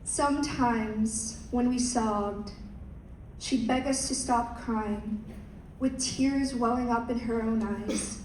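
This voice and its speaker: A young woman, a pageant contestant, reciting a rehearsed speech in a slow, measured way. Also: a little high, fairly even intonation, darkish tone, slight background noise. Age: young adult. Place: large hall. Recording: echoey hall from far off.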